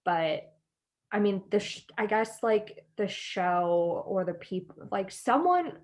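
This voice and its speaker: A young American woman in relaxed podcast conversation. She thinks out loud in a tentative way, circling her point and leaning often on "like". Her voice sits at an average pitch for a woman. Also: slow pace. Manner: tentative, thinking aloud, frequent "like". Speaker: podcast co-host. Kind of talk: casual chat, discussing reality TV.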